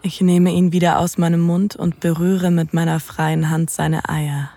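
Slow, breathy, sultry actress as dominant woman lover. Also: lowish voice, little pitch movement, warm tone. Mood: sensual, slow. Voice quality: breathy, often near whisper. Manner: slow, sensual, teasing. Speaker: narrator and lead in an erotic audio drama. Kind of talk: intimate first-person scripted narration.

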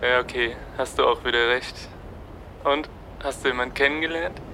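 An actor playing a pushy colleague who teases his friend, with a clear noisy street rumble behind him. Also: moderate pace, bright, forceful tone. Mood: joking, mocking. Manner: mocking, pushy. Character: teasing male colleague on the phone.

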